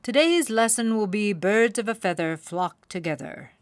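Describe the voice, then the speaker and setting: A woman's voice: mid-range voice, animated, normal speed, dry recording, close to an everyday voice. A woman voicing a wise old father owl in a storybook, stern and instructive, with emphatic delivery.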